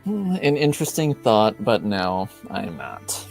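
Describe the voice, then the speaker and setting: A man playing a reserved, dry, earnest character in a tabletop game, with deadpan, formally phrased lines. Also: lowish voice, gravelly, faint music behind, exaggerated voice. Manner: deadpan, measured, formal phrasing with hesitant pauses. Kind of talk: improvised tabletop roleplay dialogue.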